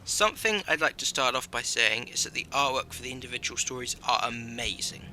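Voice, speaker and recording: Casting: man for review voice-over, crisp and very bright, light music bed underneath. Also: medium pitch. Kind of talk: scripted video review narration. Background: faint music.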